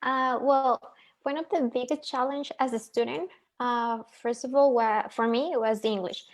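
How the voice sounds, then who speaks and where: A woman's voice: a little high, fairly even intonation, moderate pace. A woman whose first language is Spanish, speaking English with a Mexican Spanish accent as a panelist, earnest and reflective with hesitant restarts. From northern Mexico. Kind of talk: webinar panel discussion.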